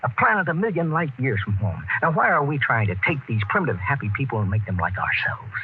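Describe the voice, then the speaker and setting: An actor playing an earnest, brooding space expedition captain in a 1950s American radio drama, with an intense, probing delivery. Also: male voice, medium pitch, very animated, fast talker, slight background noise. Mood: fervent, insistent. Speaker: actor and first-person narrator in radio drama. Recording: narrow, tinny 1950s radio recording with music.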